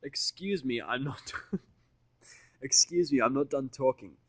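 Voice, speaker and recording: A man's voice: medium pitch, lively intonation, takes it slowly. A man playing a defiant, foul-mouthed human who bluffs and scolds in a brash, sarcastic, confrontational way in an audio story. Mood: scolding.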